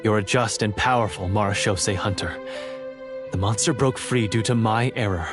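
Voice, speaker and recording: A man's voice: on the low side, moderate pace, music in the background, close to an everyday voice. A man voicing an earnest, self-sacrificing character in a game, determined and formal as he seeks to restore his honour. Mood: grave, determined. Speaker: game voice actor. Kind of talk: scripted game drama.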